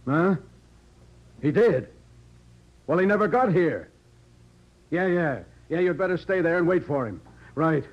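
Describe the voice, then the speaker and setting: An actor playing a gruff, skeptical, blustery police sergeant in a 1940s radio detective drama. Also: male voice, on the high side, lively intonation, unhurried, pauses often. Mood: businesslike. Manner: blustery, colloquial, exclaiming. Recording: dull lo-fi old radio recording.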